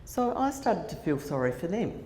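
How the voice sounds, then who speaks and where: A woman's voice: lowish voice, very animated, normal speed, gravelly, mellow. A woman in her sixties with an Australian accent, telling a personal story to a conference audience, with fillers and restarts along the way. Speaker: conference speaker. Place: conference hall. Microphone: handheld microphone. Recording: echoey hall with faint noise.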